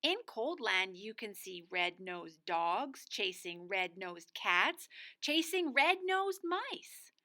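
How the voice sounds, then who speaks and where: A woman's voice: medium pitch, very animated, slow, few pauses, bright, forceful tone. A woman co-reading a storybook aloud as a guest narrator for young children.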